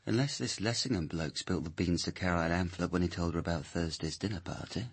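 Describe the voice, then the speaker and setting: An actor playing a suspicious police sergeant in a radio crime drama, with a blunt, challenging way of questioning. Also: male voice, low voice, a little fast, breathy edge.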